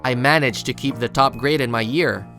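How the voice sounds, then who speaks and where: An actor playing a studious, reserved teenage high school boy who narrates and speaks in a manga video, introspective and a little hesitant. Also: normal speed, fairly bright tone, faint music behind, lightly acted. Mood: reflective.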